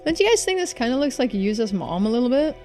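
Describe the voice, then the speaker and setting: A woman's voice: a little high, sing-song, quick pace, mellow. Woman streaming a game over music. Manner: muses aloud and addresses viewers. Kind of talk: casual game playthrough commentary.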